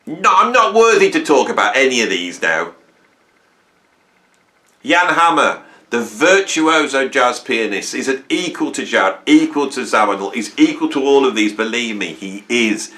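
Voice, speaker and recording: A man's voice: mid-range voice, big pitch swings, moderate pace, bright, forceful tone. A man in his sixties hosting a music channel, talking casually to camera, enthusiastic and self-mocking with lots of restarts. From Britain.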